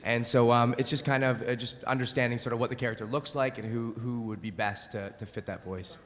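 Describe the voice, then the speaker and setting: A man speaking in a quick, casual way as a voice actor on a convention panel. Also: medium pitch, fairly even intonation, a bit bright. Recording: hall reverb from convention room.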